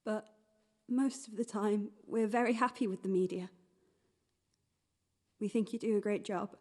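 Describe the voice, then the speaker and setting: A woman's voice: medium pitch, fairly even intonation, a little slow, dark tone, close to an everyday voice. A woman playing a composed, polite public figure in a radio play. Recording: long reverb, faint noise.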